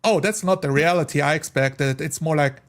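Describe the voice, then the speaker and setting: A man's voice: fairly high pitch, fairly quick. A man talking casually and at length as a tabletop player, rambling emphatically and quoting imagined speakers. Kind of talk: casual podcast-style discussion.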